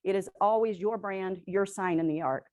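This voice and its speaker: A woman presenting to a room of real estate agents, energetic and quizzing the audience, who says she is losing her voice. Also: medium pitch, normal speed. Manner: energetic, asks the audience questions, tags lines with "right?". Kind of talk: sales presentation to a live audience.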